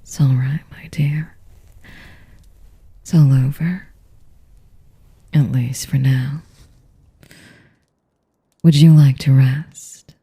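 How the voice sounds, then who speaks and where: A woman playing a sadistic, seductive vampire mistress in an intimate roleplay, whispering in commanding, condescending, cooing endearments with a husky, breathy voice. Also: fairly even intonation, extremely slow, long pauses, dark tone, no room sound, full character voice. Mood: mock-soothing. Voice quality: breathy, husky whisper with creaky low tones.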